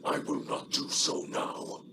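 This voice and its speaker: An actor playing a stern, grieving alien leader in a video game cutscene, grave and formal with a breathy growl. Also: male voice, slow, far from the mic, heavy background noise, lightly acted. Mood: cold, wounded.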